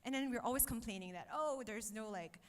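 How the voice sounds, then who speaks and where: A woman's voice: a little high, brisk. A woman in her thirties from Malaysia, an agency chief giving fluent on-stage interview answers with many "um"s and a tag "right?". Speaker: startup agency chief executive. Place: on stage in a hall. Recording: reverberant hall.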